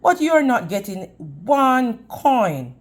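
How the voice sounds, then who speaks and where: A woman's voice: fairly high pitch, very animated, takes it slowly, pitched above the performer's own voice. A woman voicing a stubborn, touchy male leprechaun from a storybook, with quaint, firm speech. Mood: angry.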